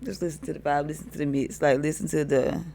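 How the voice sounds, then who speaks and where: A woman DJ with a deep voice, chatting as a podcast guest in a laid-back, hesitant way. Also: fairly even intonation, quick pace, gravelly, warm tone. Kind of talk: casual interview chat.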